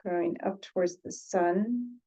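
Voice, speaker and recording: A woman leading an unscripted guided meditation as a teacher, gently, with pauses, repeated phrases and sentences that trail off. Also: on the low side, breathy edge, lo-fi sound.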